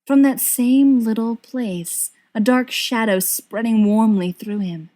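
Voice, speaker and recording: A woman's voice: on the high side, fairly even intonation, takes it slowly, warm tone. A woman reading a scripted fiction story aloud as an audiobook narrator. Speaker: audiobook reader.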